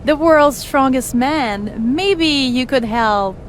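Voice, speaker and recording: An actress playing a flirtatious, manipulative wrestling diva in a video game, wheedling in a coy, pleading way. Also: female voice, high voice, expressive, slow pace, slight background noise. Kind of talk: scripted video game cutscene acting.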